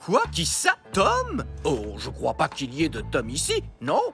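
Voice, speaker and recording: Goofy cartoon dad: dim, hesitant, extremely animated intonation, music behind. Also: mid-range voice, a little slow, full character voice. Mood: bewildered. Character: dim, bewildered, good-natured suburban father. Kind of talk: French animated cartoon dub.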